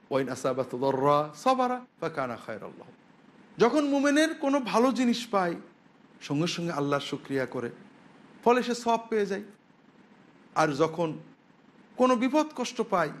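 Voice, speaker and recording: A man preaching as an Islamic scholar, explaining emphatically to viewers. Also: high voice. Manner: emphatic, explanatory, with rhetorical questions. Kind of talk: religious sermon to viewers, with Arabic quotations.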